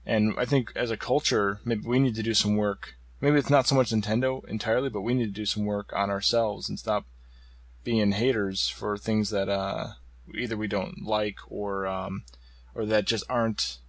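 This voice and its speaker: Low, gravelly man, hesitant. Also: fairly even intonation, moderate pace, no echo. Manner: hesitant, searching for words, many "uh" and "um". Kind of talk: casual chat.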